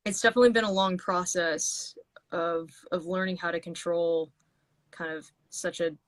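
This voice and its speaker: A woman guest talks about her music in a loose, rambling way, often restarting her sentences. Her voice sits a little low. The sound is dry and close, like a video call. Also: fairly even intonation, normal speed, no echo. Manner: rambling with many restarts and fillers. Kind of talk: casual interview chat.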